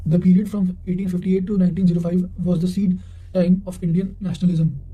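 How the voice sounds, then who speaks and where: A man's voice: sits high, level tone, fast talker, phone-quality sound, slight background noise. A man reading history study notes aloud in a careful delivery, occasionally stumbling over words. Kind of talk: reading quotations aloud for exam revision.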